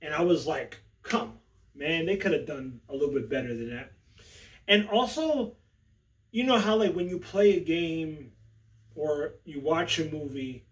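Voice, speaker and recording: A man's voice: fairly high pitch, distant mic, rough recording. A man hosting a casual, unscripted review, talking in a slangy, conversational way and often checking in with the listener. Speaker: movie review host.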